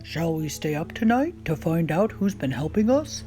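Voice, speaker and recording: A woman's voice: deep voice, big pitch swings, moderate pace, dark tone, soft background music. A woman voicing an honest, good-natured married shoemaker in a children's storybook read-aloud. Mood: curious.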